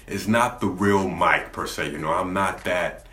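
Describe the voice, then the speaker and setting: A young man with a deep voice, talking candidly to camera in a laid-back, rambling way, often restarting his sentences. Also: unhurried, bright, forceful tone. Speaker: gaming YouTuber. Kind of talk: candid vlog talk to camera. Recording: echoey room.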